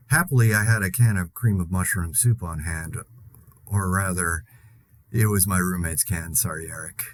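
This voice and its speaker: A man's voice: low voice, a little hiss or hum. A man playing an anxious, wry survivor who broadcasts a rambling, sardonic, conversational monologue in an audio drama. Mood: rambling, sheepish. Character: anxious, wry man broadcasting alone during zombie apocalypse. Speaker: podcast host in a zombie-outbreak audio drama.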